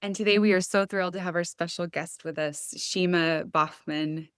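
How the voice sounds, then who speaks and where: A woman's voice: on the low side, fairly even intonation, normal speed, clean tone, no room sound, studio quality. A woman hosting an interview podcast, speaking in an articulate, prepared way as she presents and questions a guest. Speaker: podcast host.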